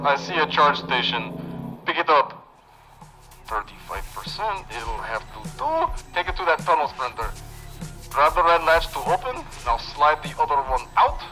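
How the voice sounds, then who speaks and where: A man's voice: fairly high pitch, sharp tone, exaggerated voice, poor audio. A man playing a loyal but exasperated tech operator in a sci-fi audio drama, giving terse step-by-step commands. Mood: urgent, instructing. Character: bossy tech operator guiding an injured friend remotely.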